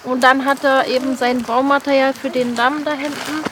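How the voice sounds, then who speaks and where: A woman explaining in a relaxed way on location outdoors. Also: sits high, normal speed, background noise. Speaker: interviewee.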